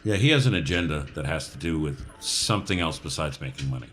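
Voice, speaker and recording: A man's voice: a bass voice, expressive, fast, a bit bright. A man chatting casually as a player in a tabletop roleplaying game.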